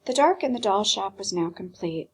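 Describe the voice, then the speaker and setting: A woman teacher reading a storybook aloud expressively, with dramatic pauses and hushed phrases. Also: medium pitch, expressive, breathy edge.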